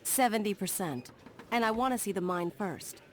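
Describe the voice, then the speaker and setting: An actress playing a confident, skeptical young adventurer heroine in a video game, brisk and assertive. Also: female voice, medium pitch, animated, slight background noise, lightly acted. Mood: businesslike. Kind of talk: video game cutscene acting.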